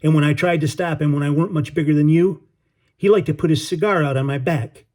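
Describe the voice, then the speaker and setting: A man voicing a tough, blunt sailor with a New York accent and slangy, gruff talk. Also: mid-range voice, fairly even intonation, fast talker, dark tone, natural voice. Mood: angry scolding.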